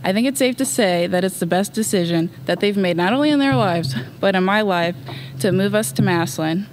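Soft-spoken teen girl at a podium. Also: mid-range voice, a little fast, slight background noise, low-quality recording. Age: late teens. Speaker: student speaker. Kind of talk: read graduation speech. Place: empty school auditorium, at a podium. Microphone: podium mic.

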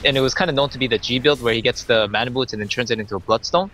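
Laughing, chatty young Canadian caster. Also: male voice, mid-range voice, very fast, pushed and bright. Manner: chatty, analytical, laughs often. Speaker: esports co-caster. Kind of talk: esports commentary.

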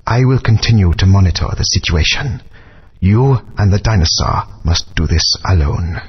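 An actor playing a solemn ancient alien guardian spirit in a video game, speaking in slow, grave, whispery pronouncements. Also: male voice, low voice, expressive, exaggerated voice. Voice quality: husky, whispery. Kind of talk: game voice acting. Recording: dull lo-fi game audio.

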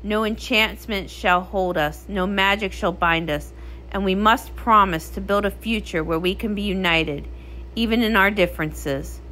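A woman's voice: mid-range voice, measured pace, pushed and bright, a little hiss or hum, low-quality recording. A woman voicing a guarded, solemn sea queen with a curt, grave manner in a comic read-along.

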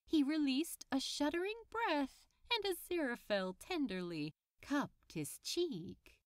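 A woman reading fiction aloud as an audiobook narrator, in an expressive storytelling style. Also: sits high, slow, no room sound, studio quality.

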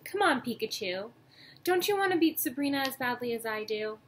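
Woman acting out determined young boy, storybook hero, exclamatory, high voice, slight noise. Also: expressive, a little fast, lightly acted. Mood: eager. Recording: noisy home webcam recording.

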